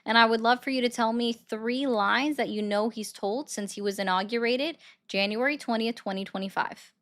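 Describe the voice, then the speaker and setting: Woman call-in host, sardonic and brisk, bright higher voice. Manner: brisk, sardonic, cuts callers off. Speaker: livestream host taking calls. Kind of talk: political call-in livestream.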